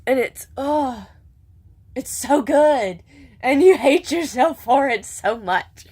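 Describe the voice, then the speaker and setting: A woman's voice: high voice, animated, slow, a little mellow, slightly rough. Stuffed-up woman rambling in a vlog. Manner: rambling, self-deprecating, restarts sentences often. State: stuffed up, congested. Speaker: vlogger. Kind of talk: casual vlog chat.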